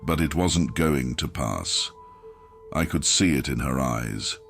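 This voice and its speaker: A man reading a scripted first-person horror story in a grim, measured, dramatic manner, as an audiobook narrator. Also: a bass voice, expressive, vocal fry, a bit bright, soft background music.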